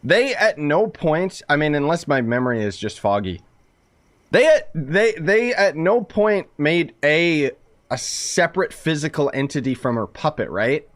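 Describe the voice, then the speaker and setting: A man's voice: fairly high pitch, sing-song, a little slow. A young man streaming gameplay, talking casually and a little sarcastically about the story. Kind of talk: gameplay commentary. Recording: game music behind.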